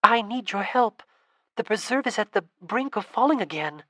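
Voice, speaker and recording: A woman's voice: medium pitch, expressive, normal speed, bright, forceful tone, no room sound, studio quality, pitched above the performer's own voice. A woman voicing a brave, stubborn teenage girl who talks earnestly, pleading and then defiant. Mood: urgent.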